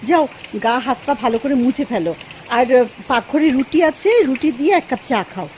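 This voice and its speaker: Wistful housewife, very lively pitch. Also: female voice, moderate pace, a little hiss or hum, rough recording. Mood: kindly, caring. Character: lonely, devoted young Bengali housewife, wistful and caring. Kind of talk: Bengali radio drama acting.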